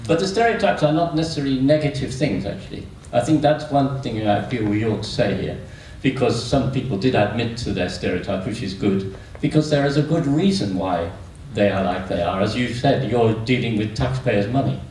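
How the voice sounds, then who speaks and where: A man's voice: mid-range voice, expressive, a little fast, hardly any pauses, a little mellow. A man giving a spontaneous, reflective comment from the audience at a meeting. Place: large echoey room at a meeting. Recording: echoey hall, distant mic, faint noise.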